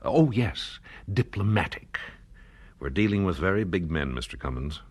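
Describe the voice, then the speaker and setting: An actor playing a worried, wealthy landowner in a 1970s radio drama, speaking in a hesitant, measured, troubled way. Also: male voice, deep voice, animated. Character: weary wealthy landowner, worried father of a kidnapped family.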